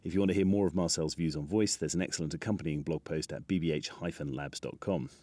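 Very deep-voiced male podcast host. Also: quick pace, dark tone. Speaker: podcast host interviewing guests. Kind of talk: interview questions and scripted podcast narration.

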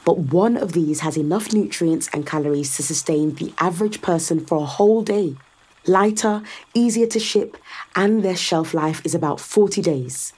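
A confident, sardonic woman in a science fiction audio drama. Her voice sits a little low and has a slightly husky edge. She explains things with calm assurance and a dry, teasing bite. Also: normal speed. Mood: dryly teasing. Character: confident, sardonic woman guiding a skeptical visitor.

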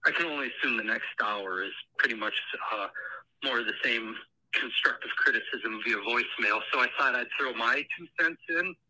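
A man with a very bright, sharp voice leaves a voicemail over the phone. His phrasing is measured and mock-formal. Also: medium pitch, poor audio. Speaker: voicemail caller.

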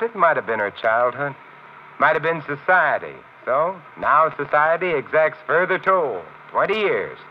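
An actor playing a suave, worldly gentleman detective with a dry, musing manner in a 1950s radio drama. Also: a little high. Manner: urbane, musing, dryly witty. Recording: tinny, noisy old radio recording.

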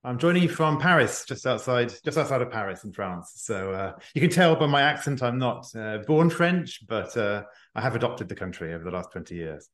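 A male guest speaks in an earnest, reflective way. His voice is mid-pitched. It was recorded in an echoey room. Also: animated, a little fast, few pauses, fairly bright tone. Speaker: guest author. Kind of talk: podcast interview.

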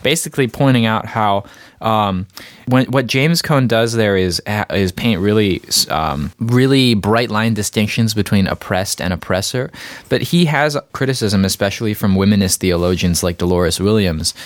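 Young guy cohosting a podcast, really deep voice, dry close sound, thoughtful and measured. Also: dry recording. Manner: thoughtful, measured, brief agreeing interjections. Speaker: podcast co-host. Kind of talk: casual chat.

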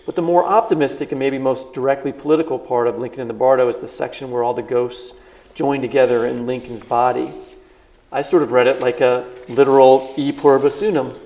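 A man's voice: mid-range voice, fairly even intonation, fairly quick, mellow, poor audio. A man hosting a live podcast, asking thoughtful, rambling questions with many restarts. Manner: thoughtful, rambling, self-interrupting. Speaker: podcast host. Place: live event on stage.

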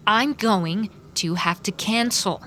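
A woman's voice: mid-range voice, takes it slowly, bright, forceful tone, a little hiss or hum. An actress playing a troubled, bitter woman with a brooding, dramatic manner in an audio drama. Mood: curt, irritated. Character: troubled, bitter woman narrating her unravelling, curt and guarded. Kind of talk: audio drama monologue and dialogue.

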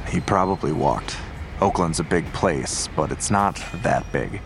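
An actor playing a calm, skeptical male investigator in an audio drama. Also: deep voice, measured pace, gravelly, a little hiss or hum, full character voice.

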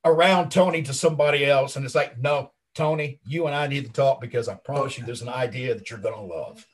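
A man in his late fifties with a husky voice, chatting casually and talkatively as an interview guest, self-assured and full of anecdotes. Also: medium pitch, brisk. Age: late 50s. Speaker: interview guest, wrestling commentator and video creator.

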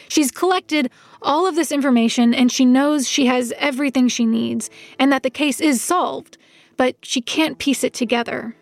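Tired wry woman narrating her story, crackly fry, measured, soft music behind, fiction podcast. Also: high voice, no echo. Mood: wry, reluctant. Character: world-weary, recovering-alcoholic woman narrator, reluctant detective's helper. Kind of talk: first-person scripted audio drama narration.

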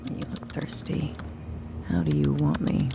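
Sultry creaky-voiced teasing woman. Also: deep voice, little pitch movement, measured pace, background noise, full character voice. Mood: teasing, seductive. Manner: flirtatious, knowing, teasing. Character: sultry woman companion selling her time, teasing and commanding. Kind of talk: naturalistic audio drama acting.

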